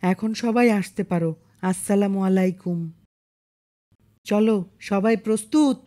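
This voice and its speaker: A woman's voice: medium pitch, a little slow, dark tone, natural voice. A woman voicing an earnest, devout young boy in a cartoon, exhorting others in a preachy way. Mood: rallying, resolute. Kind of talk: cartoon dialogue.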